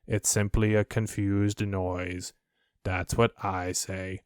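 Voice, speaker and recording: A man's voice: low-pitched, flat delivery, clear, resonant tone, no room sound, clean studio sound. A man voicing a gloomy, put-upon donkey in a storybook, grumbling in a morose, resigned manner. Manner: slow, morose, resigned delivery. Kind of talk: storybook character voice.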